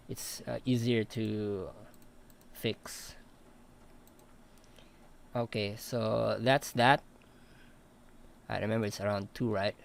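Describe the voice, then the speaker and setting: A young man explains his mixing plugins one by one in an unhurried baritone. He talks slowly, pausing often with fillers as he clicks through settings, in a relaxed, hesitant manner. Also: gravelly, slight background noise. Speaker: audio mixing tutor. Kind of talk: casual walkthrough of vocal mixing plugins.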